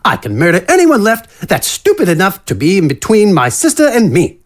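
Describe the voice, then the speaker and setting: A man playing a pompous, mockingly polite gentleman rogue with florid, theatrical manners. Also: high-pitched, huge pitch swings, brisk, voice pushed up, exaggerated voice. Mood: breezy, mocking.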